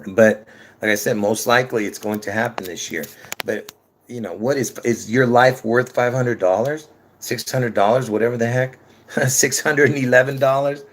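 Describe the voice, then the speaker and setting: A man's voice: mid-range voice, normal speed, slight background noise. A man from Lebanon giving a rambling monologue to his viewers, restarting sentences often and addressing them directly. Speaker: conspiracy video creator. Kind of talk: rambling casual monologue to camera.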